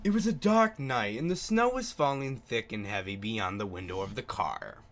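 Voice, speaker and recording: A man's voice: on the low side, lively intonation, normal speed, fairly bright tone, a little hiss or hum. A man hosting a gaming video, casually commenting and reading game text aloud, sometimes stumbling over words.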